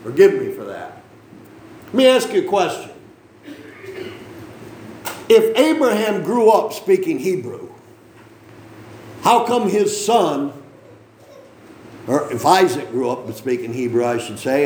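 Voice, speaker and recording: A man's voice: mid-range voice, sing-song, unhurried, long pauses, a little mellow, echoey room, slight background noise, rough recording. A man preaching a sermon, reading scripture aloud and explaining it in a conversational way, with frequent questions to the congregation and joking asides. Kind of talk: sermon, Bible reading and teaching.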